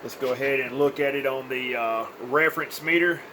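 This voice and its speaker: Plain-spoken man over clear background hum. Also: mid-range voice, fairly even intonation, fairly quick, sharp tone. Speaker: CB radio repair technician. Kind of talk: informal hands-on demonstration and update for a customer. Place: indoors at a repair workbench.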